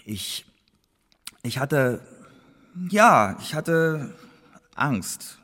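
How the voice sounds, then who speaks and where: An actor playing a defiant, hot-tempered playwright and reluctant ex-informant in a radio play, with a husky voice and emphatic, halting delivery. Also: male voice, mid-range voice, sing-song, sharp tone, exaggerated voice. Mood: uneasy, confessing. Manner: emphatic, halting, repeats himself. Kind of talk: radio drama dialogue. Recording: long hall reverb.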